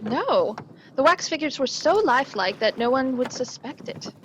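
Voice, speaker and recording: A woman's voice: high-pitched, animated, normal speed. An actress playing a genteel, inquisitive lady companion in an old-time radio play, polite and earnest. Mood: earnest recounting. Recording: live stream with faint noise.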